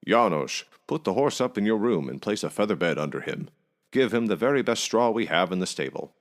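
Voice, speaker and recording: A man's voice: fairly low pitch, sing-song, brisk, pitched above the performer's own voice. A man voicing a self-important, eager-to-please innkeeper in a folk tale, emphatic and bossy with his servants. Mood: bossy, proud. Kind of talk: folk tale storybook reading.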